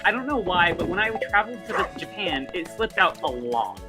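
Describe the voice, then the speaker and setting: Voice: mid-range voice, big pitch swings, fairly quick. Co-streamer, casual gaming chat, candid, hesitant, many ums, game music behind.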